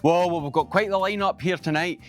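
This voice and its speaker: A man's voice: high-pitched, brisk, faint music behind. A man hosting a dating game show, presenting with a few stumbles and repeated words.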